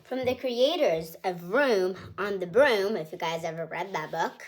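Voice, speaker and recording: A woman's voice: mid-range voice, measured pace. A woman hosting her own channel, reading a picture book aloud to children in a cheerful, chatty, singsong storytelling way.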